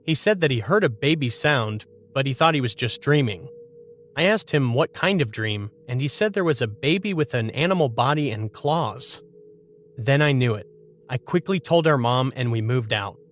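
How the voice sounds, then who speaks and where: A man's voice: medium pitch, lively intonation, normal speed, slight background noise. A man narrating a scripted first-person horror story as a storyteller.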